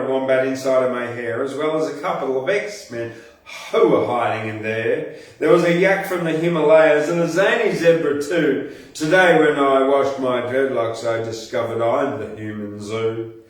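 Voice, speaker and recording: An Australian man hosting a poetry show, reciting and chatting in a rhythmic, theatrical way. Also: mid-range voice. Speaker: poetry show host and poet. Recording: very echoey room, distant from mic.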